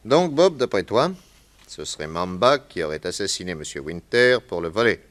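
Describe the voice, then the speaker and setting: A very deep male voice, that of a shrewd private detective in a 1960s radio serial. He is self-assured and wry, probing each point methodically. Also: very animated, normal speed, fairly bright tone. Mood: skeptical. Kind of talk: 1960s French radio crime drama acting.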